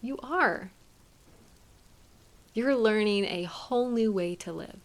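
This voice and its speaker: A woman playing a tender, doting girlfriend who soothes and gently coaxes her partner in an intimate one-sided roleplay. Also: medium pitch, slow. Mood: tender, soothing. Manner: soothing, affectionate, gently coaxing, softly playful.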